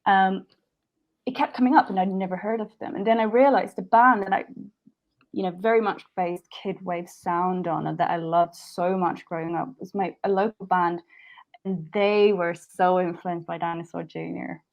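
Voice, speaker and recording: A woman's voice: lowish voice, fairly even intonation, normal speed, highs cut off. Chatty young woman from Sweden. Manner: chatty, many likes and restarts. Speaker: singer-songwriter guest. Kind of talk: casual interview chat.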